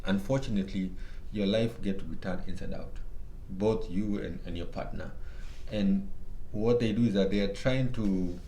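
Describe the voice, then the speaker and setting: Earnest Kenyan man, lowish voice, roomy. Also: dark tone. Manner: earnest, emphatic, halting with frequent restarts. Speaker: guest. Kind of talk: candid personal testimony in conversation. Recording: roomy echo with faint background noise.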